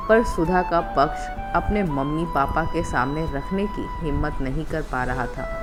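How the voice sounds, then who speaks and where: A woman narrating an emotional family story from a script in an expressive, dramatic way, with music behind her. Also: low voice. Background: background music.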